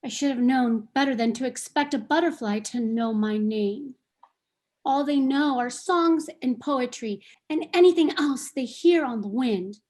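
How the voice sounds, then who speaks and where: A woman's voice: sits high, a little mellow. A woman playing a lonely pleading unicorn. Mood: wistful. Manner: slow, wistful, pleading. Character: lonely young female unicorn searching for her kind. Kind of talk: play table read.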